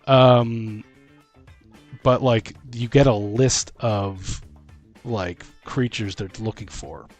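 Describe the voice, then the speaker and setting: A man co-hosting a casual conversation about tabletop games, feeling his way through sentences haltingly. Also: fairly low pitch, steady tone, soft background music. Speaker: podcast co-host.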